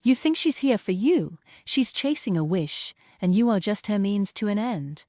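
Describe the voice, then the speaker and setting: A sly, imperious villainess for a stage play, acted out by a woman. Her delivery is grand and menacing, with a lively, swooping pitch and a dry, close sound. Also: normal speed, no room sound, natural voice. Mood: sly, probing. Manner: grand, dramatic. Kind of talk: theatrical play table read.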